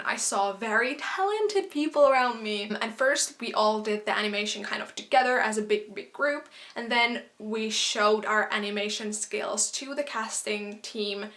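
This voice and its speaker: A young woman from Finland, a native Finnish speaker, chatting casually to camera as a vlogger in an animated storytime style. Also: fairly high pitch. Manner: chatty and animated, quick asides and quoted exclamations.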